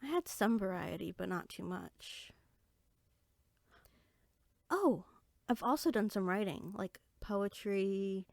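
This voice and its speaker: A small, friendly fairy girl, performed by a woman talks in an audio roleplay. She is bookish and eager, chatting expressively as if to one companion. Her voice sits at a medium pitch, with hardly any room sound around it. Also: animated, slow pace, gravelly, long pauses, darkish tone, no room sound. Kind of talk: roleplay audio drama, one side of a conversation.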